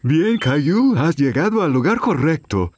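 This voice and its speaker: Un actor que da voz a un vendedor de tacos amable y servicial en dibujos animados, con tono de bienvenida. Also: male voice, on the high side, very animated, unhurried, darkish tone, slight background noise. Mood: friendly. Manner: welcoming, explanatory. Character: friendly, helpful male taco vendor. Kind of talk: children's cartoon dubbing.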